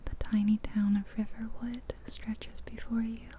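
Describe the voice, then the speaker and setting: A woman softly narrating an ASMR guided visualization in a breathy, whispery, soothing voice, close to the microphone, with gentle pauses. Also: a little high, little pitch movement. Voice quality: breathy, whispery soft-spoken voice. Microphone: close ear-to-ear ASMR microphone.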